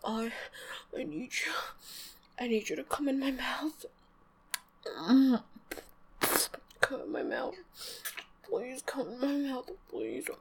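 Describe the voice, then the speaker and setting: High and slow, with a gravelly fry at the edges of her words, this is a young female elf who is eager and submissive, as a woman voices her. She talks in breathy, pleading tones, often in a whisper, for an intimate audio roleplay. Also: big pitch swings, heavily acted. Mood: breathless pleading.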